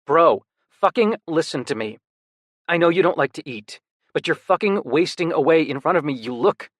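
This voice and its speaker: A man's voice: on the high side, animated, normal speed, dry recording, voice pushed up. A man voicing a worried, protective younger brother, urgent and pleading, swearing when upset. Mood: fierce insistent.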